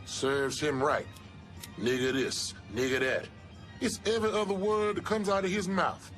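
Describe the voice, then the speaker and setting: A man's voice: high voice, fairly even intonation, normal speed, a bit bright, faint music behind. An actor playing a disgruntled working man in a video game, grumbling bitterly about his boss. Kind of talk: video game cutscene acting.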